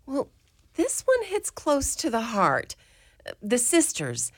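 A woman's voice: on the high side, wildly swooping pitch, slow, exaggerated voice. A woman playing a mother superior of a convent orphanage in a community radio play, wry and motherly with a lilting way of talking.

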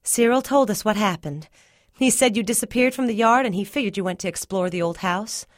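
A woman's voice: medium pitch, brisk, no room sound, clean studio sound, voice pushed up, natural voice. A woman doing a stern, weary mother. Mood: scolding. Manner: scolding, weary. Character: stern worried mother, middle-aged woman.

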